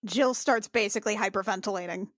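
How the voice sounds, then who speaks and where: A woman's voice: medium pitch, fast talker, fairly bright tone, no echo, studio quality. A woman playing a tabletop roleplaying game, chatting casually with playful, mock-exasperated banter.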